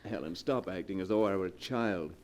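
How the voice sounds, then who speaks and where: An actor playing a concerned, insistent husband in a 1940s radio drama, earnest and questioning. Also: male voice, low-pitched, a little fast, lightly acted.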